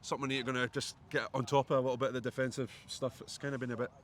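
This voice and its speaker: A man's voice: medium pitch, level tone, racing. A man chatting casually as a fan pundit in a post-match football reaction. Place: outdoors outside a football stadium. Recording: outdoor street noise behind.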